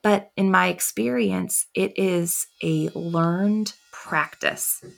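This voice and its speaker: Soft-spoken woman with a low gravelly voice. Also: steady tone. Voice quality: soft, quiet voice. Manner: slow, with long pauses between thoughts. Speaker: confidence coach, podcast guest. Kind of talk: reflective interview answers.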